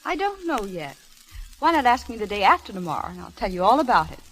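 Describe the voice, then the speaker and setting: A woman's voice: mid-range voice, sing-song, fast, fairly bright tone, rough recording. A woman playing a young, breezy air stewardess, slangy and playful, in vintage drama style. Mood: pert, coy. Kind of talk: vintage 1930s-1940s drama acting.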